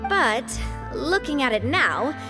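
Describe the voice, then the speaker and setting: A woman's voice: high-pitched, very animated, slow, music in the background, full character voice. A woman playing a young, poised and caring female gang leader in a video game. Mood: composed, welcoming.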